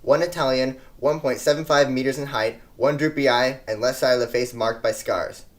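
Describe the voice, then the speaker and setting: An earnest news anchor of the 1920s, played by a man, delivers a scripted report in a formal announcer's manner. His voice sits at a medium pitch. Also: steady tone, normal speed, natural voice.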